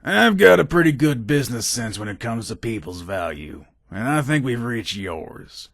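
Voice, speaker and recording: A man's voice: mid-range voice, very animated, normal speed, vocal fry, a bit bright, no room sound, clean studio sound, heavily acted. An actor playing a shrewd, businesslike gun trader in a video game, talking in casual, hard-bargaining salesman patter. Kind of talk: video game character dialogue.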